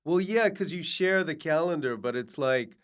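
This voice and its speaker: A middle-aged man hosting a podcast, chatting casually and dropping deadpan jokes. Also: fairly high pitch, clean tone. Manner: quick deadpan jokes and interjections. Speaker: podcast host.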